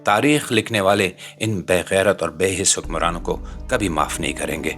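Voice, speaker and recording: A man's voice: low voice, normal speed, creaky, a bit bright, faint music behind. A man from Pakistan with a Pakistani accent delivers scripted political commentary in an indignant, dramatic, rhetorical way, using formal literary wording. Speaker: commentator, channel presenter.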